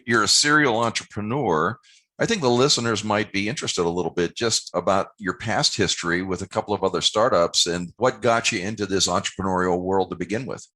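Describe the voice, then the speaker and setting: Very deep, bright-voiced male host. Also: brisk. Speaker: podcast host. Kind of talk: interview hosting.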